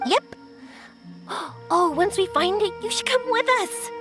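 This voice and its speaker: High, lively, bubbly young anime woman. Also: measured pace. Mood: friendly, upbeat. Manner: bubbly, expressive. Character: cheerful, sincere, excitable young woman in fantasy game. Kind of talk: anime-style game voice acting. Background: music.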